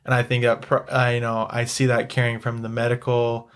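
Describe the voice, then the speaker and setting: Male co-host, even monotone delivery, agreeable and halting. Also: medium pitch, smooth. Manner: halting, agreeable, quick to laugh. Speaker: podcast co-host. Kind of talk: casual conversational interview.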